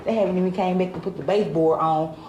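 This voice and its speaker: A woman's voice: fairly low pitch, steady tone, fast talker, a little mellow. A woman with a Southern US accent, speaking plainly and complaining as a tenant in an informal news interview. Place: indoors in an apartment. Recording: echoey room with background noise.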